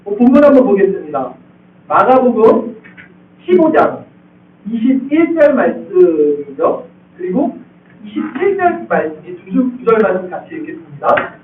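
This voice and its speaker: A male pastor preaching to his congregation, talking with them as he goes and checking whether they follow. His voice sits very high and moves a lot. He sounds far from the microphone, and the thin, tinny sound echoes around a large church hall. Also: big pitch swings, normal speed, slight background noise. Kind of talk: expository sermon. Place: church sanctuary.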